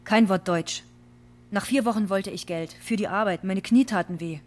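A woman's voice: medium pitch, moderate pace, a little mellow, close to an everyday voice. An actress playing an exploited migrant cleaning woman, telling her story in clipped, repetitive phrases. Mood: bitter recounting. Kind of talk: dramatic first-person testimony monologue.

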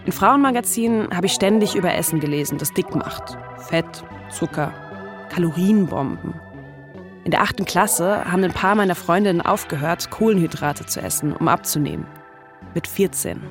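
A woman's voice: on the low side, normal speed. Woman narrating a documentary, music underneath. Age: 30s. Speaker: podcast narrator and host.

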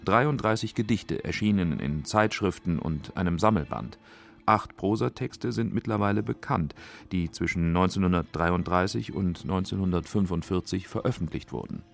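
A man narrating a scripted radio feature in a factual, measured manner. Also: low voice, vocal fry, soft background music. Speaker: documentary narrator.

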